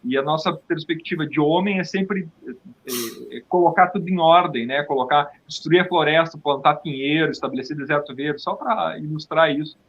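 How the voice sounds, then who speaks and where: A Brazilian man with a slightly raised pitch muses aloud in a conversational, philosophical manner. The recording is a little dull and lo-fi. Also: moderate pace, fairly bright tone. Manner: philosophical, musing. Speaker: theatre company member, interview guest. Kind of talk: reflective conversational explanation. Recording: dull, lo-fi audio.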